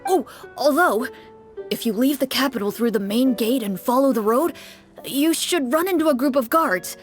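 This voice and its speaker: A woman's voice: high-pitched, expressive, normal speed, faint music behind. Woman doing a fretful, teary townsman. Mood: tearful. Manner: fretful and gushing, breaks into shaky relief. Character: anxious, tearful middle-aged townsman.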